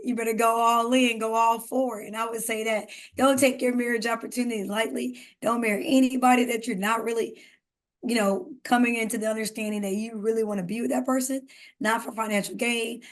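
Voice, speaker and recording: A woman co-hosting a podcast, talking earnestly and giving emphatic advice in a casual conversation. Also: fairly high pitch. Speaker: podcast co-host.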